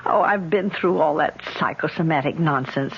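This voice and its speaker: A woman's voice: sits low, moderate pace, gravelly. Proud, skeptical woman, muffled recording. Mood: bitter, defiant. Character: proud, skeptical, embittered woman with paralyzed hands. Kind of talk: old-time radio drama acting. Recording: dull old radio recording with hiss.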